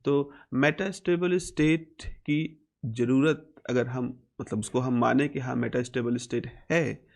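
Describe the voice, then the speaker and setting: Indian guy teaching a physics class, normal pitch, goes step by step, repeats the important bits. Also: vocal fry. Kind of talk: lecture explaining concepts step by step.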